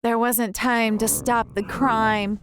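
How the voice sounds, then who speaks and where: A woman narrating a rhyming children's picture book in a theatrical, expressive storytelling manner. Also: fairly high pitch, slow, a little hiss or hum. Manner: theatrical, storytelling delivery with emphatic rhyme.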